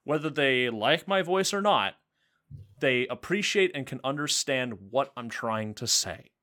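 A man's voice: medium pitch, expressive, measured pace, pushed and bright. A man co-hosting a podcast in casual chat, stammering through restarts and laughing often. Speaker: podcast co-host.

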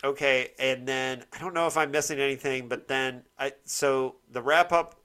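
A man's voice: medium pitch, steady tone, normal speed, a loud crowd. A man hosting a casual discussion, rambling and correcting himself as he searches for words. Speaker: podcast host. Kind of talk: casual chat, TV show discussion.

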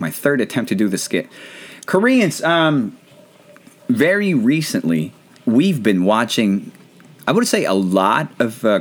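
A man's voice: fairly low pitch, a little hiss or hum. A Filipino man, not a native English speaker, hosting a casual, rambling, self-deprecating chat show. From Philippines. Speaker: podcast host.

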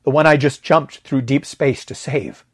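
A man's voice: medium pitch, normal speed, husky, no echo, studio quality, voice pushed up, close to an everyday voice. A man voicing a dazed, desperate male space rescue pilot in a story, agitated and disbelieving.

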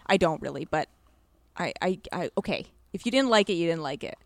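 A woman's voice: lowish voice, animated, fairly quick, dry recording. A woman chatting casually as a podcast guest, speaking effusively and with emphasis about what she likes. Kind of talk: casual chat.

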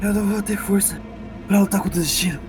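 A Brazilian man playing an anguished, stubborn hero burdened by fate, with halting, pleading and emphatic lines in a role-playing audio drama. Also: high-pitched, background noise, voice pushed up, natural voice.

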